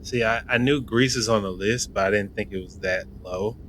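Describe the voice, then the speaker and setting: A man's voice: lowish voice, normal speed, a bit bright, a little hiss or hum. A man co-hosting a podcast in casual chat, guessing hesitantly and joking around. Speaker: podcast co-host.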